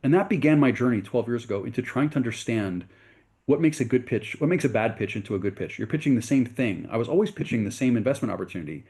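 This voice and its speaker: A man's voice: mid-range voice, animated, fast, a little mellow. A middle-aged man from the United States, a venture capitalist guest in an interview, telling his story earnestly and correcting himself as he goes.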